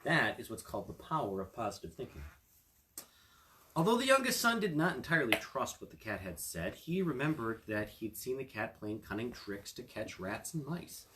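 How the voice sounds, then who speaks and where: A man reads a children's story aloud in a very animated way. His pitch moves up and down freely around a medium register, and he slips easily between narration and casual asides. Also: moderate pace, slight background noise. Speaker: storyteller, attorney.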